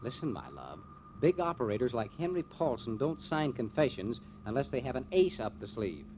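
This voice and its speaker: An actor playing a wisecracking small-town newspaper editor and husband in a jaunty, teasing 1950s radio drama style. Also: male voice, mid-range voice, big pitch swings, moderate pace, lightly acted. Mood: breezy, pushy. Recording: muffled, noisy old 1950s radio recording.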